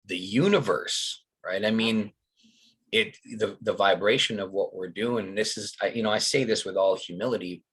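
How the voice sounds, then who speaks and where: A man's voice: fairly low pitch, moderate pace, fairly bright tone, slightly rough. A man in his forties, a coach talking as a guest on a podcast, reflective and rambling, with many restarts. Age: 40s. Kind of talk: casual podcast conversation.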